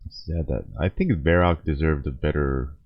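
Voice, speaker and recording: A man's voice: extremely low pitch, normal speed, no room sound, lo-fi sound. A man giving casual, hesitant reaction commentary to game cinematics, often repeating words as he thinks aloud.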